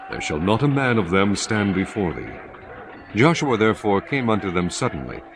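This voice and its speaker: A man narrating scripture in a measured, solemn way. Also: on the low side, background noise.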